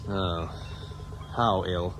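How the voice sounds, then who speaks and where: A man's voice: low-pitched, fairly even intonation, very slow, a bit bright, full character voice. An actor playing an irritable, curt male spaceship officer, brusque and exasperated, in a 1980s radio drama. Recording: clearly noisy old radio recording.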